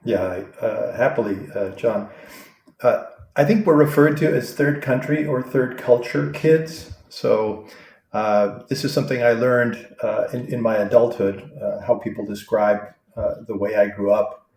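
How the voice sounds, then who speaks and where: A man in his sixties answering interview questions in a thoughtful, halting way, with many fillers and restarts. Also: on the low side, creaky, a little mellow. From born in Vietnam, raised abroad. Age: 60s. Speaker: guest. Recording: roomy echo.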